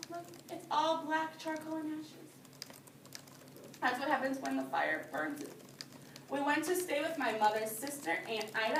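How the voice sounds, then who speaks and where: A woman reading a picture book aloud to young children as their teacher, pausing to explain things in simple phrases. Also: high voice. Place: indoor classroom. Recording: roomy, distant microphone with background noise.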